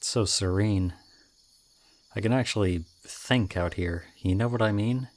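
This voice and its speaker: Guy doing a low voiced young college dude for an audio rp, charming but kinda off, casual w/ little hesitations. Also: measured pace, natural voice. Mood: reflective. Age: young adult. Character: odd, charming young student with creepy intensity. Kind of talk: audio roleplay acting, addressed to listener.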